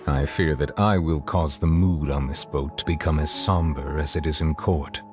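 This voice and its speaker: Deep, grave, dignified judge. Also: male voice, fairly even intonation. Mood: dry, courteous. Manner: formal, measured. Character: grave, dignified male judge, formal and reserved. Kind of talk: video game voice acting. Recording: long reverb, music behind.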